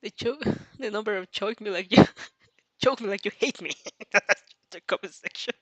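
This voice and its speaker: A woman's voice: medium pitch, normal speed, bright, forceful tone, no echo. A woman casually reading fan fiction aloud and commenting as a reaction host, playful and exclamatory, easily breaking into giggles.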